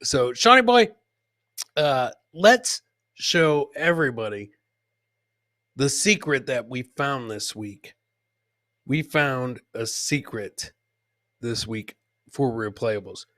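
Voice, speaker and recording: Bright-voiced man hosting comedy clips. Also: mid-range voice, expressive, takes it slowly, pauses often, no echo. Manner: joking, teasing, repeats punchlines. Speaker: host of a comedy clip show. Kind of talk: live comedic commentary on video clips.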